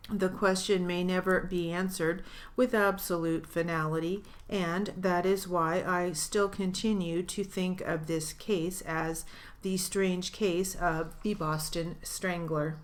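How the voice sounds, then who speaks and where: A woman reading literary passages aloud as steno dictation practice, in a deliberate, measured way. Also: lowish voice, steady tone. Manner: deliberate, evenly paced reading with pauses.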